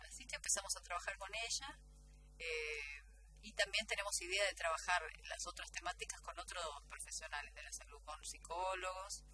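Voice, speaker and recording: A woman's voice: mid-range voice, bright, forceful tone. A woman with a Rioplatense Argentine accent, talking conversationally as a guest in a radio interview. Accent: Rioplatense Argentine Spanish. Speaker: guest, radio drama actress and announcer. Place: small radio studio. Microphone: studio table microphone. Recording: noisy with audible hum.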